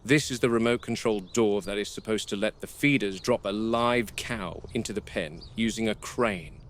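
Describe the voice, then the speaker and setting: An actor playing a gruff, wary game warden, speaking in a measured, sardonic way as if recording a log for a found-footage horror film. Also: male voice, on the low side, close to an everyday voice. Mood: exasperated, sardonic.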